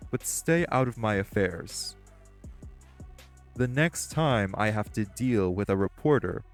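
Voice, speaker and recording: A man playing a cold, measured crime boss. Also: mid-range voice, lightly acted. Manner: measured, condescending, clipped. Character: cold, composed male crime leader. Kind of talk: audio roleplay acting.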